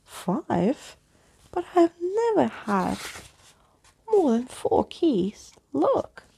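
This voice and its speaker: A woman's voice: high-pitched, big pitch swings, drawn-out words, vocal fry, dark tone, voice pushed up, full character voice. A woman voicing a pompous, cheerful male living skeleton in a children's storybook, theatrical and boastful. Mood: bewildered. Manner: theatrical, boastful, uses whimsical invented words.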